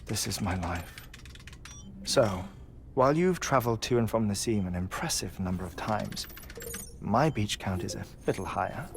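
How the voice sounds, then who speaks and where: An actor playing a calm, measured, dryly wry man who dies every twenty-one minutes, in a video game cutscene. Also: on the low side, creaky, background noise. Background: game soundtrack music.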